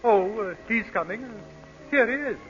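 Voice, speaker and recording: An actor playing a genial, vague society husband, mild and hesitant, in old-time radio drama style. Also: male voice, high-pitched, expressive, normal speed. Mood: affable. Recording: dull vintage radio recording, loud music.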